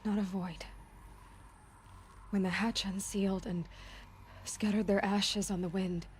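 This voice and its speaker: An actress playing a brave young female warrior in a video game, soft-spoken and at times whispering. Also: mid-range voice, natural voice. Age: young adult.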